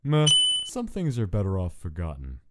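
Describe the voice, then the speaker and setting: A man's voice: low-pitched, huge pitch swings, normal speed, very noisy. A man playing a wry, laid-back skeleton monster in a comic dub, speaking in a casual, teasing, nonchalant way. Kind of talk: scripted comic dub acting.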